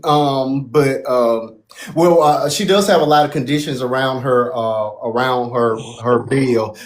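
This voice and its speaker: A man hosting a casual live-stream panel, speaking in a relaxed, halting way. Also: mid-range voice, a little hiss or hum. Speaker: host of a live panel show.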